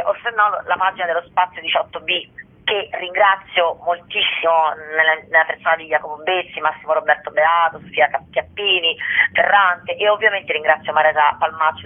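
A woman, a theatre director and actress, calling in to a radio interview and rattling off dates, prices and thanks. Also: low voice. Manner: brisk, lists dates and thanks in a rush. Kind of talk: phone-in interview. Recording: tinny phone line.

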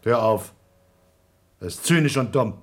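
A man's voice: mid-range voice, lively intonation, measured pace, natural voice. An actor playing an anxious, rule-bound, devout astronaut and family man in a radio play, speaking with tense, scolding insistence.

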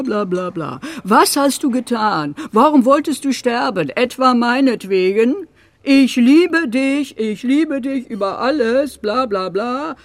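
A woman's voice: lowish voice, no room sound, heavily acted. An actress in a radio drama playing a bitter, foul-mouthed middle-aged mother with a blunt, scolding, theatrical manner.

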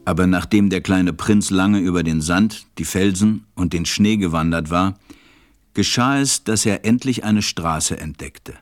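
A man narrating a fairy tale as a measured, reflective storyteller in a radio play. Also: low voice, soft background music.

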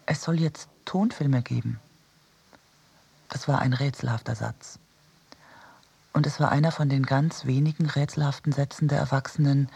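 An actor playing a reflective narrator of memories in a radio drama, quiet and measured, with a husky, breathy voice. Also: male voice, fairly high pitch, exaggerated voice. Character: reflective narrator recalling childhood, cinema and wartime loss. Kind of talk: literary first-person narration for radio drama.

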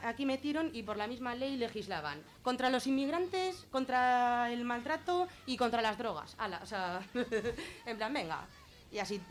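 A woman with a Spanish accent from Spain gives an informal explanation as a radio guest, colloquial and often halting as she thinks aloud. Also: fairly high pitch. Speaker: guest explaining gender-violence legislation on a radio show.

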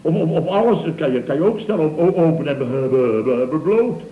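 An actor playing a flustered, blustering middle-aged contractor boss who stammers, repeats himself and grumbles in a comic radio drama. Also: male voice, fairly high pitch, expressive, brisk, close to an everyday voice. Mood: flustered, excited. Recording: dull, roomy old radio recording with hiss.

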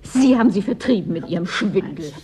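An actress in a radio drama playing a weary, reproachful woman clerk in a forgotten frontier office, with a dark, husky voice. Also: medium pitch, lively intonation, fairly quick, faint music behind, natural voice.

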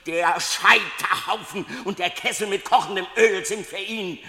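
An actor playing a venomous, fanatical preacher who denounces a sinner in theatrical, declamatory German radio play style. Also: male voice, high voice, sharp tone, heavily acted. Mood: venomous denunciation. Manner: declamatory, accusing, drawn-out exclamations. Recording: echoey hall with long reverb.

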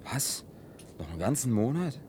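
A man's voice: mid-range voice, very animated, moderate pace, warm tone, slight background noise, natural voice. An actor in a German radio play, playing a wry, good-natured man who grumbles in a dry, sceptical way.

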